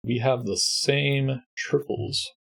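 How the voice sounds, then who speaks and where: A man with a congested, husky voice, calmly walking through a sudoku puzzle step by step as host. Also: mid-range voice, slow. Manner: patient step-by-step explaining. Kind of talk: sudoku walkthrough.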